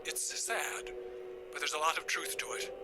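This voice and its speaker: An actor playing a frightened but defiant elderly grandfather held captive in an audio drama, speaking in a halting, urgent, affectionate way. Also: a little high, steady tone, over music, lightly acted. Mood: tender, hesitant. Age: older.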